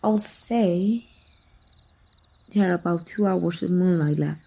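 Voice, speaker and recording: A woman performs a sadistic, scheming vampire hunter in an audio roleplay, with a gravelly creak in her dark, mellow voice. She is a manipulative sister who taunts in a deliberate, mock-sweet way. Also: fairly low pitch, full character voice. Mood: gloating menace. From Mexico. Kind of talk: ASMR roleplay acting.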